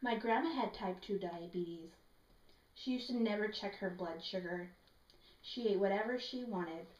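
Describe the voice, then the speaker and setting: A woman's voice: mid-range voice, moderate pace, over music, natural voice. A woman playing a puppet granddaughter telling a family story in a scripted film monologue. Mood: matter-of-fact. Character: puppet granddaughter recounting grandmother's fatal diabetes. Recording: dull echoey recording, faint noise.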